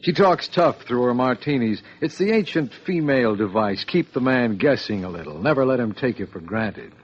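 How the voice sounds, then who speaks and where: A vain, moneyed, self-deluded man from a vintage radio mystery, played by an actor. His voice is medium-pitched, on a dull, muffled old recording. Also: lively intonation, normal speed, natural voice. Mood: self-assured. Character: vain, wealthy, self-deluded male poisoning victim. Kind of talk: old-time radio drama acting.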